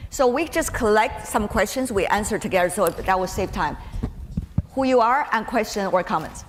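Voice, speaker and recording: A woman moderating a panel in a brisk, businesslike way as she opens the floor to questions. She is heard in a large, echoing hall, with a faint room hum behind her. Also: medium pitch, lively intonation. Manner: brisk, directs audience questions. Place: conference hall.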